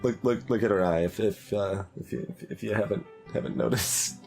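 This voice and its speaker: A man giving casual, rambling commentary as he plays a game, halting and thinking aloud as he speculates, with game music behind him. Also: fairly low pitch, gravelly, darkish tone. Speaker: streamer doing a blind voiced playthrough. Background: video game music.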